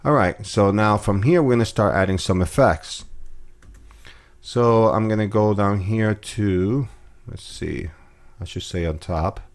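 Low-voiced guy doing a laid-back screen tutorial, lots of uh's. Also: unhurried. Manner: relaxed step-by-step explaining with fillers. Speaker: music production tutor. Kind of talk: screen-recorded software tutorial.

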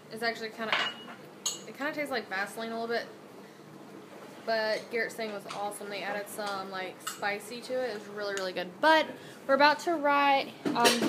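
A bubbly young woman with a slightly high voice rambles cheerfully into her phone at arm's length. She talks through her cooking and her day in a casual vlog style, with steady household noise behind her. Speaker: vlogger. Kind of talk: casual daily vlog chat. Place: home kitchen, indoors. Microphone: phone camera at arm's length.

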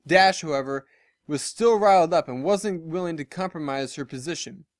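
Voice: medium pitch, moderate pace, no room sound, clean studio sound. A story reader giving a scripted narration of fiction. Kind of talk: scripted narration of fan fiction with character voices.

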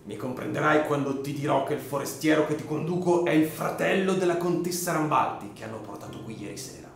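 A man's voice: fairly high pitch, fairly quick, creaky, a little hiss or hum. A man playing a bold, persuasive young coachman in a radio drama, insistent and familiar in manner. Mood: confiding, firm. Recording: very echoey room.

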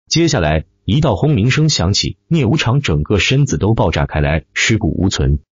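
A man narrates fantasy fiction in a low, animated voice. His delivery is emphatic and theatrical, with a rough, husky edge. The slightly lo-fi recording sounds muffled. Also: no room sound. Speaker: audiobook narrator. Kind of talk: dramatic scripted narration of a fantasy web novel.

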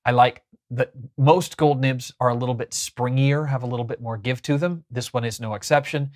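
A man's voice: mid-range voice, fairly even intonation, normal speed, a little mellow. A man in his thirties giving a casual, chatty product review to camera, explaining as he goes, recorded on a lapel mic indoors. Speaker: host of a pen review show. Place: small furnished room indoors.

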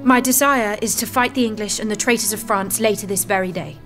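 A woman's voice: medium pitch, fairly quick, music in the background, lightly acted. A woman playing a brave, devout young maiden commander in an audio drama, speaking formally and earnestly. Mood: resolute.

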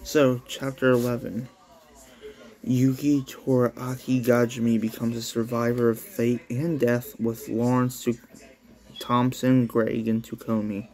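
A man reading a story aloud in a halting, casual way with sweary asides, like an amateur read-along. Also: mid-range voice, flat delivery, darkish tone, poor audio. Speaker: amateur reader of own anime story.

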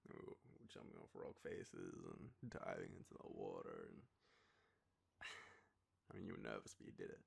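A young Australian man playing an affectionate, nostalgic boyfriend in an intimate roleplay, talking to the listener in a halting, teasing way. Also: rumbling low, big pitch swings, gravelly, husky, voice pushed down, heavily acted. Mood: fond, amused. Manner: hesitant, soft chuckles, halting pauses. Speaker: audio roleplay performer.

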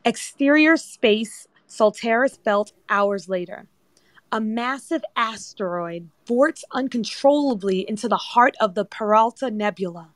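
A woman's voice: on the high side, lively intonation, a little slow, dry recording. Dry-sounding woman narrating a screenplay. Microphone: remote audio chat app.

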